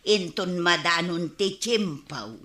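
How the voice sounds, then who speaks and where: A woman's voice: on the low side, takes it slowly, fairly bright tone. A woman playing a nosy, gossiping village neighbour with an Ilocano accent in a radio comedy drama. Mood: prying. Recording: roomy, echoing studio sound.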